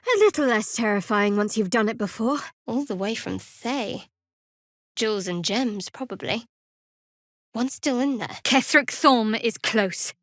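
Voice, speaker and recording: A young female cleric of a dark goddess in a fantasy game. Her voice sits a little high, with a measured, dryly sardonic delivery that keeps others at arm's length. The sound is studio-dry, with no room around it. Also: no echo. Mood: observant, matter-of-fact. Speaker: video game companion character. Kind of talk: scripted fantasy game voice acting.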